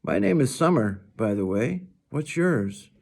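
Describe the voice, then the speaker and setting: A man's voice: mid-range voice, very animated, a little slow, a little mellow, pitched above the performer's own voice. A chatty, eager young schoolgirl from a storybook, acted out by a man, with a voice lifted well above his usual talk. She is friendly and matter-of-fact, stressing her words earnestly.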